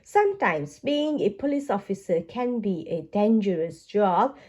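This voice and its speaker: A woman voicing friendly police officers who instruct children in a storybook, speaking deliberately and encouragingly. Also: mid-range voice, very animated, dark tone. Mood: serious. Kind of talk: storybook read-aloud.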